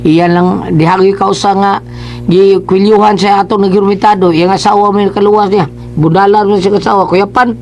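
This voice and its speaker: A man's voice: high-pitched, steady tone, moderate pace, darkish tone, faint music behind. A man with a Cebuano accent co-hosting a radio show, casually retelling and bantering. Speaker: radio co-host.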